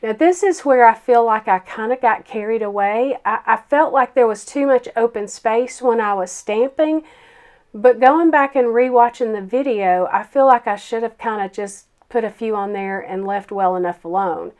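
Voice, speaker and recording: A woman's voice: fairly high pitch, moderate pace, darkish tone. Froggy-voiced grandmother crafter, chatty. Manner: chatty, self-deprecating, many ums. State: hoarse from a summer cold. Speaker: card-making crafter. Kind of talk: casual craft tutorial voice-over.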